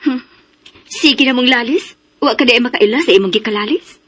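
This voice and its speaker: A woman acting in a radio drama as a courteous but firm, protective mother, speaking in a measured way. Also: on the high side, fairly bright tone, slightly rough. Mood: firm, disapproving. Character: firm, polite, protective mother of grown daughter.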